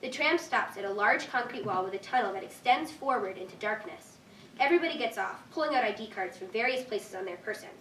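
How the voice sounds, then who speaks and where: A woman's voice: medium pitch, lively intonation, a little fast, fairly bright tone. Young woman reading aloud in an echoey room. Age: young adult. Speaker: stage-directions reader. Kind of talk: scripted narration, read aloud. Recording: echoey room, distant mic, faint noise.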